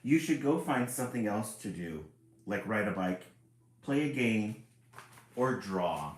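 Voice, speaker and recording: A man's voice: fairly low pitch, expressive, measured pace, reverberant. A grumpy talking book, as a man puts it on. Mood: bossy. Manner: gruff, bossy, shooing people away. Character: grumpy talking children's book, male, fed up.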